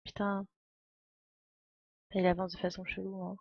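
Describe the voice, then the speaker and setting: A woman's voice: medium pitch, completely flat delivery, a little slow, creaky, no echo, lo-fi sound. A woman doing casual live video game commentary, playful and joking, with slangy talk. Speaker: game streamer. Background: faint video game music and dialogue.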